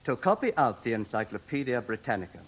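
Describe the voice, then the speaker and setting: An actor playing a brisk, officious, pompous official in a British radio drama, with a British accent and clipped, declamatory delivery. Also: male voice, medium pitch, sing-song. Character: brisk, officious, pompous red-haired male league official.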